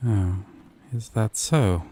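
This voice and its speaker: A man acting a slow, low-voiced, possessive lover. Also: expressive, slight background noise. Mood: amused, teasing. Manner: slow, lingering, coaxing, softly teasing. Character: gentle, obsessive male yandere dating-sim character, adult, tender, possessive. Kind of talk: intimate scripted audio roleplay.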